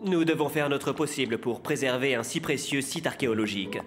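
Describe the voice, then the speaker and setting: A man's voice: mid-range voice, brisk, lightly acted. An actor voicing an enthusiastic, courteous male marine research director in a French cartoon dub. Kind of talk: French animated series dubbing. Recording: music behind.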